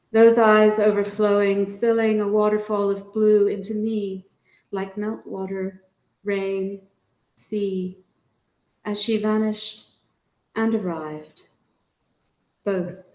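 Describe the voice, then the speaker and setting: A woman from Trinidad reading her poems aloud in a measured way, her line endings often dropping soft. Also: mid-range voice, steady tone. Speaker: featured poet. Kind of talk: poetry reading. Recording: echoey room.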